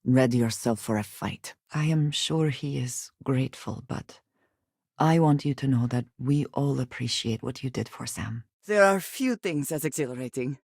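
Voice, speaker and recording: A woman voicing a guarded, serious female space explorer companion in a video game, with measured, formal diction. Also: extremely low pitch, lively intonation, vocal fry, a little mellow, slightly rough, no room sound, studio quality, heavily acted. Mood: alert, then sincere, reserved. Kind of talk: video game character dialogue.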